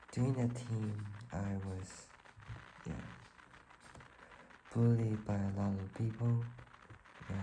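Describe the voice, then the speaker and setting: Slow, gravelly Taiwanese-accented man. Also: fairly low pitch, background noise. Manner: halting, searching for words. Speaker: vlogger telling own life story. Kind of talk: casual personal storytelling.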